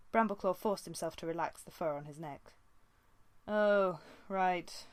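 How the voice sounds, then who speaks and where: A woman's voice: fairly low pitch, dry recording. A woman reading a story aloud as an amateur audiobook narrator.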